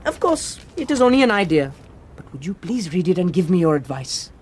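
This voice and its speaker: A man's voice: high-pitched, very animated, brisk, slightly rough, heavily acted. A man playing an earnest, eager young Indian prince in a radio drama, speaking formally.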